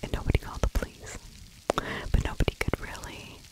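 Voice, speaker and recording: A woman's voice: fairly quick, low-quality recording. Whispering middle-aged woman, ASMR. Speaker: true crime ASMR host. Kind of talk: whispered ASMR true crime commentary. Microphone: large fluffy-covered microphone held very close to the mouth.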